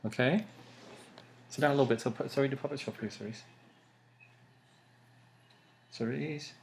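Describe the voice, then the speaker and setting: A man's voice: medium pitch, fairly even intonation, normal speed, gravelly. A man filming at home, patiently coaxing a child with short, simple phrases. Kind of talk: casual home video chat. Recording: noisy home video recording.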